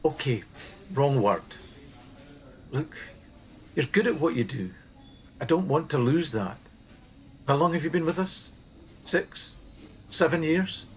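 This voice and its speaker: A man playing a firm, measured team supervisor. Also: a little high, lively intonation, slight background noise. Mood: insistent. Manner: measured, tactful, insistent. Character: earnest, firm male supervisor of a helpline team. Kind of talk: naturalistic radio play acting.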